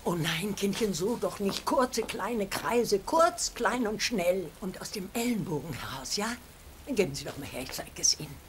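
A woman's voice: medium pitch, animated, moderate pace, lightly acted. Woman as a fussy, swooping older lady. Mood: condescending. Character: fussy, condescending older lady, sugary and bossy. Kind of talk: German sitcom dub acting. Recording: noisy audio with clear background noise.

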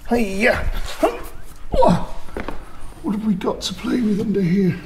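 A man's voice: high voice, slow pace, reverberant, noisy background. A man chatting casually and joking as he checks over an old car in his garage workshop. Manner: chatty, jokey, thinking aloud. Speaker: car restoration vlogger.

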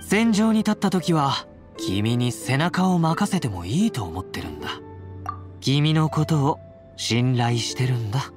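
Breezy, animated young warrior. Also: male voice, medium pitch, unhurried. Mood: confiding. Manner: breezy, teasing, smooth-talking. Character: confident, playful young male warrior, affectionate companion. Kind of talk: Japanese anime-style game voice acting. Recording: game audio with background music.

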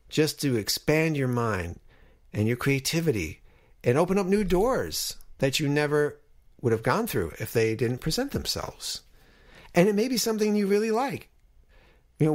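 A man talking casually and encouragingly as a podcast host. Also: mid-range voice.

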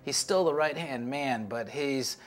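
A man's voice: mid-range voice, measured pace, slight background noise. A man in his forties talking in an interview about creative work, recorded indoors. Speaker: show creative team member. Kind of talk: interview talk about making a series. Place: indoor office with artwork on walls.

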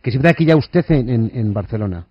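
Fast-talking Castilian Spanish man, muddled prankster. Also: mid-range voice, lively intonation, husky, no room sound, lo-fi sound. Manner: deliberately muddled, garbled double-talk. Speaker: radio prank caller. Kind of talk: prank phone call, improvised chat.

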